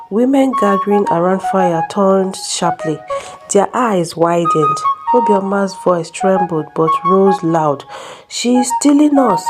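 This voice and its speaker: Slow, dramatic woman storyteller over loud music. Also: medium pitch. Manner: deliberate, suspenseful phrasing. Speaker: storyteller narrator. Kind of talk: dramatic scripted folktale narration. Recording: prominent music under the voice.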